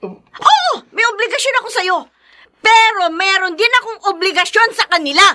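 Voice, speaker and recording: A woman playing a feisty, dutiful breadwinner wife in a radio drama, with an emphatic, expressive delivery. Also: extremely high pitch, moderate pace, full character voice. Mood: indignant, defiant.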